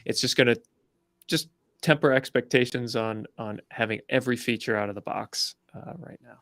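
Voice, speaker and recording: Male product co-presenter, medium pitch, very dry sound, measured explanatory delivery. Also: a bit bright, no room sound. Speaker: co-presenter from a software company.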